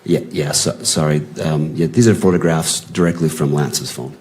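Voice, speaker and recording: Very deep-voiced American man testifying. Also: steady tone, a little mellow. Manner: halting in long answers, brief factual replies. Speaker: law enforcement witness testifying. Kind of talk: courtroom testimony. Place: courtroom. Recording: noisy courtroom audio.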